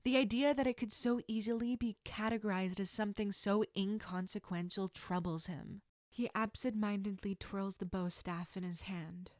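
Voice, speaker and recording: A woman reading scripted fiction aloud as an expressive narrator. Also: medium pitch, moderate pace, dry recording, studio quality.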